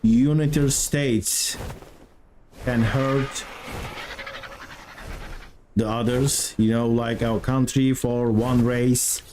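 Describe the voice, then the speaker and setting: A man with a heavy Turkish accent talks slowly and evenly, his tone staying flat and mellow. He chats with his viewers while he plays, his English halting as he searches for words. Faint noise hums underneath. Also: medium pitch. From Turkey. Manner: chatty, answers viewers, halting non-native English. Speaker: game streamer. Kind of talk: live stream chat while playing.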